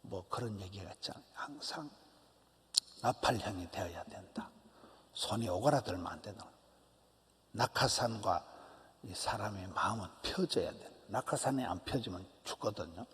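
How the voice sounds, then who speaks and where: Emphatic Korean pastor with a Gyeongsang accent. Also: male voice, lowish voice, normal speed. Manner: animated, emphatic preaching with short repeated phrases and drops to a whisper. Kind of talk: early-morning sermon. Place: church sanctuary. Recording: church hall reverb.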